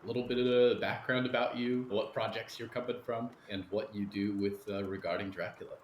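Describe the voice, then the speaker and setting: A man's voice: on the low side, fairly even intonation, a little fast, roomy sound, dull audio, a little hiss or hum. A man hosting a podcast, speaking in scripted introductions and interview questions.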